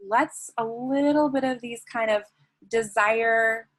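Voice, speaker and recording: Soft-spoken woman guiding gently. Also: a little high, slow. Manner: soft, flowing, with many "like"s and "um"s. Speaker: guest, sensual wellness teacher. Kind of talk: conversational interview, then guided breathing.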